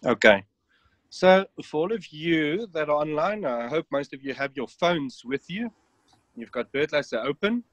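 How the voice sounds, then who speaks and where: A man's voice: a little high, expressive, moderate pace, a bit bright, no echo, lo-fi sound. A man explaining step by step how to set up a phone app in an online webinar, with frequent ums. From South Africa. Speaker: app developer giving a demonstration. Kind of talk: webinar walkthrough. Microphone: online call.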